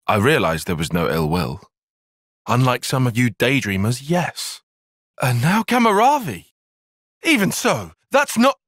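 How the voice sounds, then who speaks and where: A man playing a cerebral, earnest young soldier and strategist in a video game, speaking in precise, formal, analytical language and quick to exasperation. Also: mid-range voice, big pitch swings, fairly bright tone, no echo, studio quality. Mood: somber, then exasperated, then alarmed, urgent. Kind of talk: video game cutscene voice acting.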